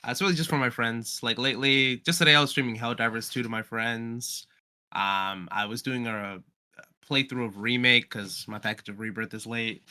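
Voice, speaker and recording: Young male podcast guest, sharp bright tone, enthusiastic, slangy, conversational. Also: mid-range voice, fairly even intonation, moderate pace. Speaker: podcast guest, amateur voice actor. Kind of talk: casual chat.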